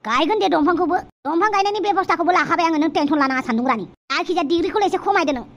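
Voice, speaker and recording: A woman's voice: very high voice, very fast. A woman voicing a soothing, wheedling father in a cartoon, chatty and coaxing. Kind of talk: cartoon dialogue.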